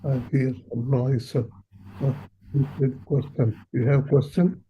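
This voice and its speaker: A man answers questions in short, halting bits. His mid-range voice comes through a dull, muffled line with faint noise behind it. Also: medium pitch, level tone. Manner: halting, short broken phrases. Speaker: previous session speaker. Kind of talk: casual Q&A exchange.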